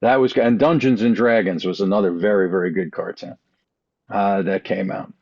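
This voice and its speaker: A middle-aged man chatting casually as a podcast panelist, in a halting, emphatic way with repeated words. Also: lowish voice, fairly even intonation, darkish tone, no echo, muffled recording. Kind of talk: casual chat.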